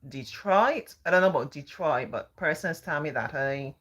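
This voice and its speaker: Barbadian woman, live-stream host; deep, calm, sing-song delivery. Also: moderate pace. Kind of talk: casual live-stream talk.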